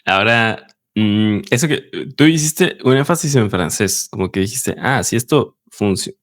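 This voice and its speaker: A man with a Mexican accent hosting an interview, relaxed, laughing easily and asking questions. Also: lowish voice, moderate pace, dry recording, clean studio sound. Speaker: host. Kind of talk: casual interview chat.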